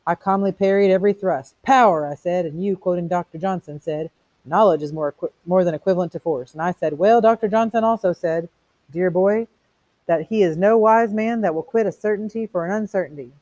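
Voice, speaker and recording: A reader putting on a smug, taunting fire captain, pitched up. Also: a little fast, a little mellow, dry recording, close to an everyday voice, low-quality recording. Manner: theatrical, declaiming quotations. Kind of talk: audiobook character reading.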